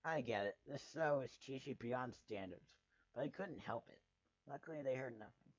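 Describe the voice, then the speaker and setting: Man, dramatic story reading, sardonic tone, mid pitch, muffled lo-fi recording. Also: normal speed, dry recording. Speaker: fan fiction reader. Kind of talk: dramatic first-person story narration.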